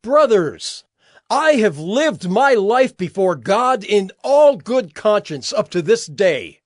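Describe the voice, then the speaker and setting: A man's voice: high voice, very animated, slow pace, dry recording, studio quality, exaggerated voice. A man playing a defiant, impassioned apostle on trial in a dramatized Bible reading, declamatory and urgent.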